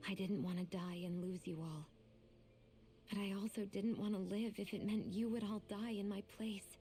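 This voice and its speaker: A woman's voice: medium pitch, steady tone, moderate pace, warm tone, natural voice. An actress playing a guarded but vulnerable young woman in a fantasy video game, speaking sincerely and confessionally. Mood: tender, moved. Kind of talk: video game English voice acting. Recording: music behind the voice. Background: game music.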